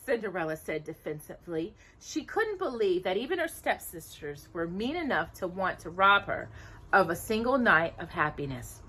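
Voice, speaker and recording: A woman reading a story aloud to children in an expressive way, now and then stumbling over words. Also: on the low side, normal speed, slightly rough, poor audio.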